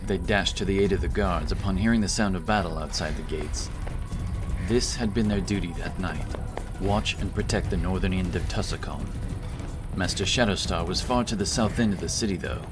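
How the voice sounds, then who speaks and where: A man's voice: sits low, normal speed. A man narrating a fantasy story in a dramatic, scripted audiobook style. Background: action music.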